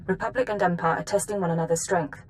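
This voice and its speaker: A woman playing a composed, efficient intelligence analyst in a video game, giving briefings in a crisp, businesslike manner. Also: lowish voice, fairly even intonation, fast, a little hiss or hum, natural voice.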